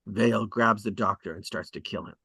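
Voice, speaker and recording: Low-voiced man reading stage directions, very dry sound. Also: normal speed, vocal fry, bright, forceful tone, slightly rough, no room sound. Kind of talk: scripted narration at a table read.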